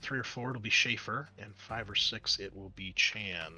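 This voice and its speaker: Bright-voiced man running a tabletop game. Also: on the low side, normal speed, slightly rough. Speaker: game master. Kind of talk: narrating and refereeing a tabletop roleplaying game. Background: background music.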